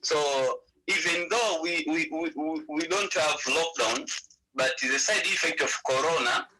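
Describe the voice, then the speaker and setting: A Tanzanian man with a Tanzanian accent, his native tongue Swahili. His voice is very bright and sharp with a husky edge, and he speaks haltingly, repeating words as he searches for them in an interview. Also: on the high side, rough recording. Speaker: interview guest, party representative.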